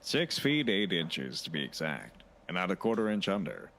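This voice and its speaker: A man acts out a pompous detective in a comic audio play, low-voiced and swinging widely in pitch. He is boastful and formal, with a deadpan edge, over a faint background noise. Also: normal speed, exaggerated voice. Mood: smug, courtly. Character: very tall, pompous male detective and sausage artist. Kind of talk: comedic audio drama.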